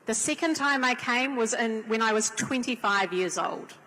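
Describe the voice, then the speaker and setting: Kiwi woman on a panel, slow earnest talk, voice a bit high, big echoey hall. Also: bright, forceful tone. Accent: New Zealand. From New Zealand. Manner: measured, earnest, drawn-out delivery. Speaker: panel speaker. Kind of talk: formal speech on a panel. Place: large conference hall. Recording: long hall reverb.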